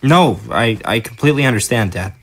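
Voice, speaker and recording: A man's voice: mid-range voice, lively intonation, fairly quick, a bit bright, husky, faint music behind. A man playing a thoughtful seventeen-year-old undead son in an audio drama, earnest and inquisitive. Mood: affectionate.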